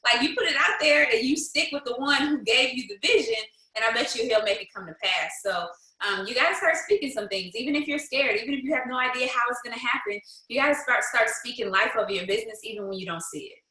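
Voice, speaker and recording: A woman's voice: a little high, lively intonation, fast, sharp tone, roomy sound, rough recording. A woman in her thirties telling stories as a guest, bold and emphatic, laughing often. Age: 30s. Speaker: guest, business leader. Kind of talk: casual storytelling, motivational.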